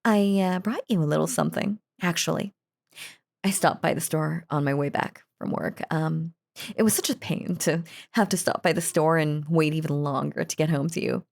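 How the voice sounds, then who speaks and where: A woman acts out a possessive yandere wife in an intimate audio roleplay. Her voice sits a little low for a woman and crackles with fry. She dotes and gushes over her husband, sweetly menacing and cheerfully unhinged. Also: moderate pace, darkish tone. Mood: affectionate, spoiling. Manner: doting, gushing, cheerfully unhinged. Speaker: voice actor.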